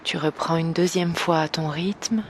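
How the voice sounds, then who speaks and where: A woman guiding an evening meditation slowly and gently, in a soft, breathy voice that nears a whisper, with long pauses. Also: low-pitched, steady tone, a little hiss or hum. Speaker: meditation guide. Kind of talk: guided evening meditation, yoga nidra.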